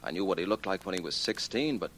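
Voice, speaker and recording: A restless, protesting heir in a 1940s radio mystery. His lowish voice moves with lively, emphatic inflection. There is faint noise from the old recording. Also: fast talker, poor audio. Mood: defensive. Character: restless, defensive wealthy heir, a male suspect.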